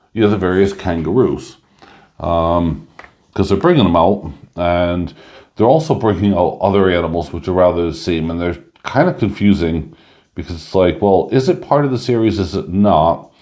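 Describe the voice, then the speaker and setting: A man chatting casually through a coin review, rambling with lots of fillers and a slightly hoarse voice. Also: low-pitched, a little mellow.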